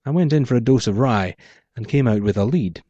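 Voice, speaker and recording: A man's voice: medium pitch, expressive, a little fast, warm tone, dry recording, highs cut off. A man, the author, reading his own first-person noir story aloud in a hardboiled manner.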